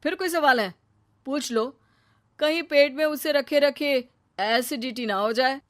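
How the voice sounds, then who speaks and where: Woman as high-voiced, stern mother-in-law. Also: a little slow. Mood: curt. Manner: sharp-tongued, sarcastic. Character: stern, scolding middle-aged mother-in-law, easily offended. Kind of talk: animated moral story dialogue.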